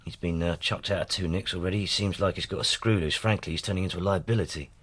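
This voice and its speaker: An actor playing a weary, defensive police detective and husband with a British accent in a naturalistic radio drama. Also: male voice, low-pitched, fast talker, a bit bright, slightly rough. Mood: weary, confiding. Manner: exasperated, earnest.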